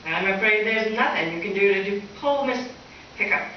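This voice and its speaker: A woman playing a wisecracking high school teacher with a dry, sardonic delivery in an old-time radio comedy. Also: normal speed, natural voice. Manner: dry, sardonic, mock-formal. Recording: echoey stage from far off, dull, hissy.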